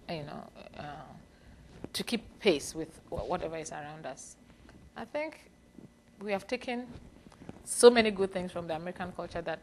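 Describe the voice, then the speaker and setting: A woman's voice: on the low side, darkish tone, poor audio. A middle-aged woman from Ghana whose first language is Fanti, speaking thoughtfully in an interview, with frequent small laughs and restarts. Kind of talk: reflective personal interview.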